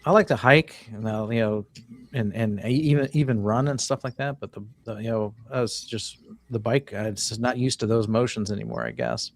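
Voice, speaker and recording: A man's voice: mid-range voice, fairly even intonation, normal speed, gravelly, no room sound. A man hosting a casual live-stream chat, reading viewer comments aloud and chatting in an easygoing way. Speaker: host. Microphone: home video-call setup.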